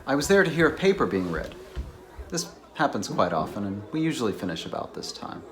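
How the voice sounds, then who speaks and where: A man's voice: medium pitch, very animated, brisk, a little hiss or hum, natural voice. A man playing a reserved, naive academic bachelor in a dramatized novel, mild and donnish. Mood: politely hesitant.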